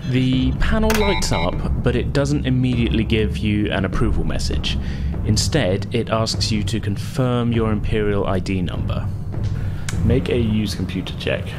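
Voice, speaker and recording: A man's voice: on the low side, animated, moderate pace, over loud music. A man narrating a tabletop role-playing game as game master, in a conversational, descriptive way. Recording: heavy constant background noise.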